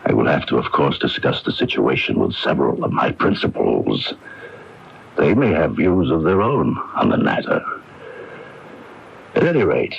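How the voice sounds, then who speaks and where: An actor playing a coldly courteous, menacing mobster in a radio mystery, speaking in a measured, formal way with a gravelly voice. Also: male voice, very deep voice, big pitch swings, heavily acted. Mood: quietly menacing. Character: coldly courteous, menacing mob-connected man. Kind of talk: radio mystery drama acting. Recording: muffled, hissy AM radio recording.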